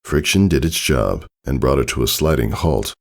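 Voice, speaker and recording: A man's voice: a bass voice, expressive, normal speed, vocal fry. A man reading a story aloud as a scripted narration. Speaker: fan fiction reader.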